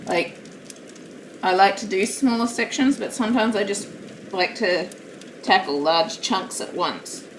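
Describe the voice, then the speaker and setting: A woman chatting casually while live-streaming a craft hobby from home, rambling and self-deprecating, with a naturally loud voice. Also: mid-range voice, measured pace, pauses often. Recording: roomy, distant mic, steady background noise.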